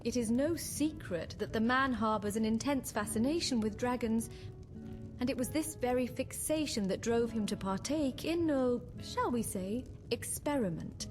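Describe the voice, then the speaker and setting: A woman voicing a composed, learned mage companion in a fantasy game, with formal, measured, eloquent delivery. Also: a little high, faint music behind, lightly acted. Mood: measured, storytelling. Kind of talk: scripted fantasy game dialogue.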